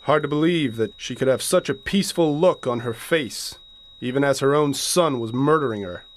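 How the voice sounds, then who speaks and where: An actor playing a stern, hard-boiled sheriff, commanding and deliberate, in a radio thriller. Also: male voice, medium pitch, a little hiss or hum, natural voice. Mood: grimly reflective. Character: stern, hard-boiled small-town sheriff making an arrest.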